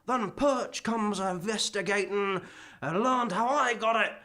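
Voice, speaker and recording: A man voicing a ghost of a one-time professor, giddy and talkative, laughing through his tale. The voice sits very high and sounds bright and lively. It is a live theatre radio-play performance. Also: measured pace. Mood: chatty. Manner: cheerful, rambling, chuckling. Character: chatty ghost of a male former professor. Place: theatre stage before a live audience.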